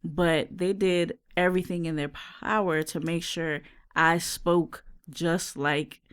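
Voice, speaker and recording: This is a podcast co-host from Jamaica with no accent, chatting in a lively and casual way. They talk in quick bursts, slip into slang and keep the conversation moving. Their voice sits at a middle pitch. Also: smooth. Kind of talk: casual chat.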